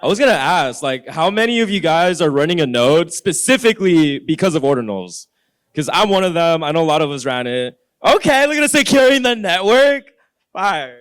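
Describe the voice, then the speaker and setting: A man speaking up on a conference panel in a loud, animated way that hypes up the crowd. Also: fairly high pitch, fairly quick, clean tone.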